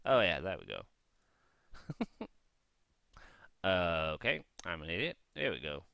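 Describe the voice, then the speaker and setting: A man's voice: low-pitched, huge pitch swings, slow pace, vocal fry, sharp tone, dry recording. A man giving casual gameplay commentary, rambling and thinking aloud as he shares blunt opinions. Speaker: gaming vlogger.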